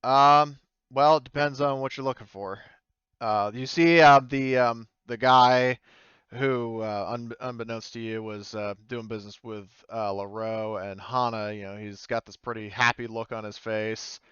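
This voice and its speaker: A man's voice: medium pitch, fairly even intonation, measured pace, a bit bright, clean tone, no room sound, muffled recording. A man narrating an improvised tabletop game as game master, tentative and often thinking aloud. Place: indoors, online voice call.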